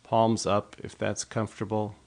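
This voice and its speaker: Low-pitched man guiding a slow meditation. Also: completely flat delivery, gravelly, a little mellow. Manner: measured, unhurried instruction in short phrases with long pauses. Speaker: meditation guide. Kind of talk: guided sleep meditation, slow body scan narration.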